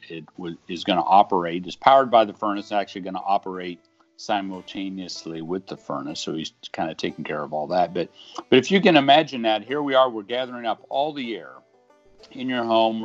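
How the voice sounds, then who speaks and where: A middle-aged man in an easygoing, conversational voice-over, explaining an HVAC installation with homespun comparisons. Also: on the low side. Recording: dull lo-fi audio with faint guitar music.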